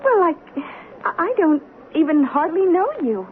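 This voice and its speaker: A woman's voice: very high voice, big pitch swings, unhurried, slight background noise, full character voice. An actress playing a coy young good-time girl in a radio drama, girlish, slangy and wheedling. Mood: coy, hesitant. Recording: tinny 1970s radio broadcast, crowd behind.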